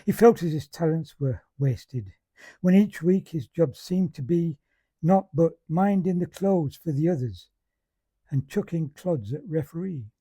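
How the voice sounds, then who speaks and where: A man reciting a comic verse monologue in measured, dialect-rich storytelling fashion. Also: fairly high pitch, animated, dark tone, husky, no room sound.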